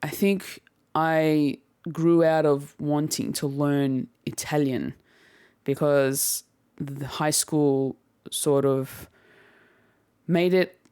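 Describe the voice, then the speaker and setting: A young adult host muses aloud in an unhurried, easygoing way, circling back and correcting themselves with little laughs along the way. The voice is smooth and clean. The recording is dry and close, with no room sound. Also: medium pitch, fairly even intonation, darkish tone, no room sound. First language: Turkish heritage household, speaks English at home. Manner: reflective and rambling, frequent laughs and self-corrections. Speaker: podcast host. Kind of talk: casual solo podcast monologue.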